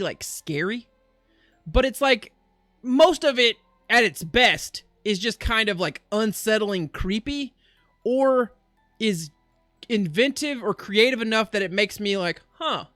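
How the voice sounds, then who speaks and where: A man's voice: high-pitched, sharp tone, no room sound. A man hosting a reaction livestream with casual, jokey, rambling commentary that often interrupts itself. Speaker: livestream reaction show host.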